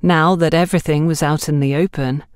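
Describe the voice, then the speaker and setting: A woman's voice: low-pitched, fairly even intonation, a little fast, smooth, no echo, studio quality. A woman reading a scripted story as an audiobook narrator.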